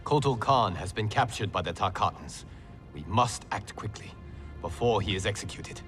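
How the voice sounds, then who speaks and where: A man's voice: on the low side, animated, moderate pace, a bit bright, faint music behind. A man playing an earnest, dutiful martial arts hero in a video game, serious and urgent.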